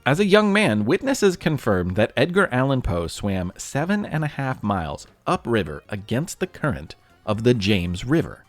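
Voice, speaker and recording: A man's voice: medium pitch, big pitch swings, moderate pace, soft background music. A man with an American accent narrating a scripted history podcast as host, telling it like a storyteller to young listeners.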